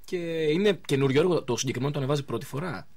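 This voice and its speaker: A man hosting a live radio interview in speech, chatting informally with pauses as he goes. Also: medium pitch, lively intonation, creaky, a little mellow, breathy edge, dry recording. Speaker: radio show host.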